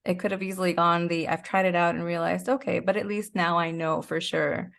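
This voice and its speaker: A woman's voice: lowish voice, little pitch movement, a little fast, vocal fry, a bit bright. A woman speaking as a guest in a casual interview, candid and self-deprecating. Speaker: interview guest, actor and writer.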